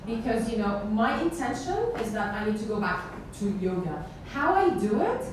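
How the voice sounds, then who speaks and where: A woman's voice: medium pitch, very animated, moderate pace. A woman on a panel giving conversational, practical advice to an audience member. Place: indoor meetup event space with seated audience. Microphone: far camera. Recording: very echoey room, distant microphone, noisy background.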